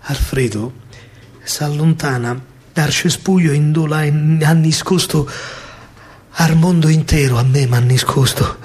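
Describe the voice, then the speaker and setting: A man's voice: on the high side, darkish tone. A man with a Roman Italian accent performs a theatrical verse monologue as a stage storyteller, declaiming emphatically in rhythmic phrases.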